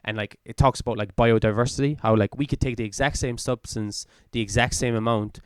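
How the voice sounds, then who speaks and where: Man, 20s, podcast co-host, earnest and rambling, smooth lowish voice, dry close sound. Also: fairly even intonation, brisk, no echo. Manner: rambling, earnest, many fillers such as "like". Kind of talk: casual chat.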